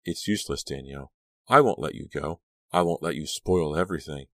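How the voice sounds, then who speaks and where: A man voicing a sinister small woman in a storybook who poses as a gentle aunt, firm, blunt and coaxing. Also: rumbling low, a little fast, dry recording, studio quality. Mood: menacing.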